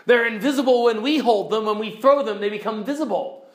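Man voicing a blustering, high-pitched foolish little creature. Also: brisk. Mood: blustering, demanding. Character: invisible foolish one-footed creatures, blustering and demanding.

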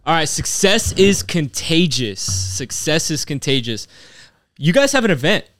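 A man's voice: a little high, very animated, a little slow, a bit bright, dry recording, faint music behind. A man hosting a podcast, asking eager, rambling questions full of fillers. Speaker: podcast host. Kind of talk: casual podcast interview.

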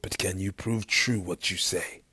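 A man's voice: low-pitched, fairly even intonation, a little slow, bright, forceful tone, slightly rough, no echo, clean studio sound, exaggerated voice. A man voicing a confident, teasing young prince in a fantasy story. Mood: cocky challenge. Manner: teasing, self-assured.

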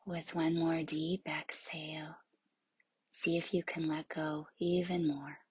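A woman leading a guided yoga nidra meditation in a soothing, hushed, breathy voice that often nears a whisper, with long pauses. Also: low-pitched, flat delivery, no room sound.

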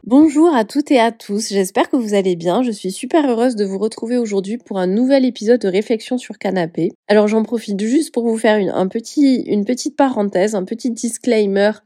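A woman's voice: on the high side, fairly quick, hardly any pauses, warm tone, dry recording, clean studio sound, faint music behind. Stuffy-nosed woman with a Southern French accent. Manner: chatty, hesitant, often searches for words and corrects herself. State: stuffy nose from a head cold. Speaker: podcast host and personal coach. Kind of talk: casual solo podcast talk.

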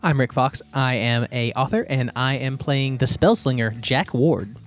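A man's voice: mid-range voice, unhurried, soft background music. A man, a tabletop game player chatting casually and jokingly. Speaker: podcast regular and player.

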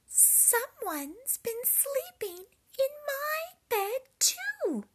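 A woman voicing a fussy mama bear in a storybook reading, pitched very high and slow. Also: sing-song, fairly bright tone, heavily acted. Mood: indignant.

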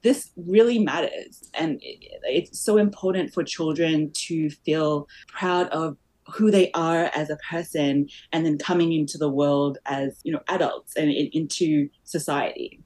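A woman's voice: low voice, unhurried, a little mellow. A woman around her forties with a muddled Australian accent, talking reflectively about herself as an interview guest, often saying "like" and "you know". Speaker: podcast guest, company founder. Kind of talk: personal, reflective interview talk.

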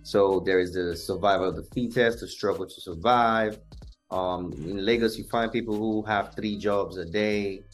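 A young man from Nigeria, a musician guest, explaining things in a measured, earnest way in an interview. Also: on the low side, soft background music. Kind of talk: interview conversation.

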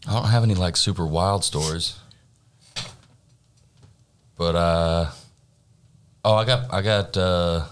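Very deep-voiced laid-back man. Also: slow, long pauses. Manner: laid-back, laughs often, heavy swearing. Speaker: guest. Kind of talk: casual podcast banter.

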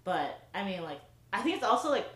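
A woman's voice: medium pitch, big pitch swings, brisk, fairly bright tone, roomy sound. A young woman, an English teacher, chatting casually on a podcast with lots of "like" fillers.

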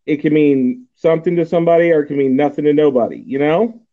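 Forties, Texan man, casual podcast host, rambling delivery, slightly high voice, phone-quality audio. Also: fast. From Texas. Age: 40s. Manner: rambling, many fillers and restarts. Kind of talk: casual chat. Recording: tinny phone-like line.

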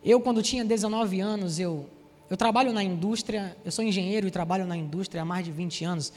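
A man in his forties from Salvador with a Bahian accent, preaching a sermon and telling personal stories, speaking to the congregation directly with lively asides. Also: high voice, a little fast, long reverb tail, soft background music. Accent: Bahian Brazilian Portuguese. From Salvador, Bahia, Brazil. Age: 40s.

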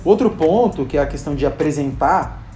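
Man, Brazilian accent, casual storyteller, lots of fillers, mellow voice, roomy echo. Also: fairly high pitch, animated, a little fast, soft background music. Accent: Brazilian Portuguese. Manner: chatty, many fillers, acts out quotes. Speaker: interview guest, video creator and hospital clown.

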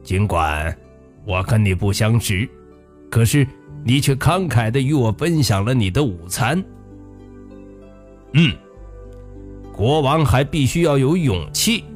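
A storyteller as a solemn, slow old wizard. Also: mid-range voice, lively intonation, soft background music. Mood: solemn, approving. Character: solemn old wizard, stately and approving kingmaker.

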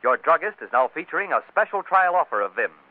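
An American man with a lively, swinging voice pitches a product in 1940s radio ad style. He sounds like an animated salesman. The recording is dry, dull and narrow. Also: fast talker, no echo. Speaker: commercial announcer.

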